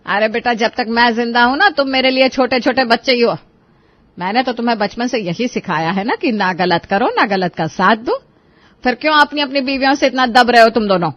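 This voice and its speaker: A woman's voice: fairly high pitch, a little fast, no echo, dull audio, close to an everyday voice. A woman voicing a scolding, protective older mother-in-law in a Hindi cartoon, questioning her family sharply. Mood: indignant, protective.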